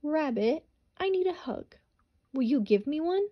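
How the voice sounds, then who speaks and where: Lonely little boy hedgehog, pleading and higher-pitched, read by a woman. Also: expressive, a little slow, dull audio.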